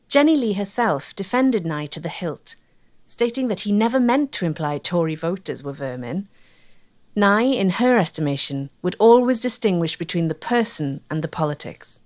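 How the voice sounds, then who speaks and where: A woman narrating a history documentary from a script. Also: medium pitch.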